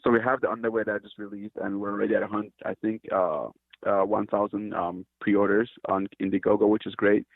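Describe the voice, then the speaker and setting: A man's voice: lowish voice, little pitch movement, brisk, gravelly, dry recording. A man from Cameroon, a company founder, talking earnestly and hesitantly in an interview, often restarting his sentences. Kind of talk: casual interview talk. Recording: tinny dry phone line.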